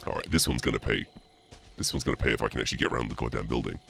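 A man commentating on a game in a theatrical, mock-menacing, sweary way, his voice pitched down by a voice changer. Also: lively intonation, rapid-fire, a bit bright. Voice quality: deep, gravelly, through a voice changer. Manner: theatrical mock-menacing taunts mixed with muttering. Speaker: streamer playing a game as the killer.

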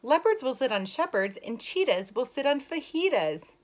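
A bold, playful storybook frog voiced by a woman that chants silly rhymes in a slow, sing-song way. The voice sits high and bright. Every rhyme is stressed. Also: sing-song.

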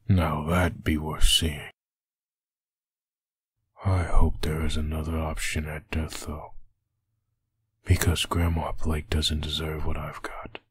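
Man voicing a very deep, husky undead antihero. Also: no room sound, heavily acted. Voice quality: husky, low growl. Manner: slow, grim inner monologue. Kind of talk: comic dub monologue.

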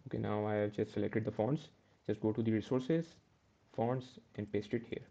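A man's voice: fairly low pitch, steady tone, moderate pace, creaky, mellow, dull audio. A man teaching a step-by-step coding tutorial over a screen recording, correcting himself as he goes. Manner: step-by-step, self-correcting with "uh" and "okay". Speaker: programming tutor.

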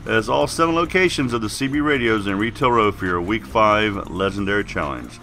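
A man's voice: on the low side, expressive, a little fast, pushed and bright, faint music behind. A man giving casual, plain-spoken game walkthrough commentary as a video host while getting over a cold.

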